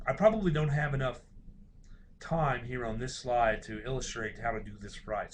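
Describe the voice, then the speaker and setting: A male university lecturer talks through slides in an informal, explanatory style. His voice sits at a medium pitch, and he often hedges and hesitates as he explains. Faint room noise runs behind him.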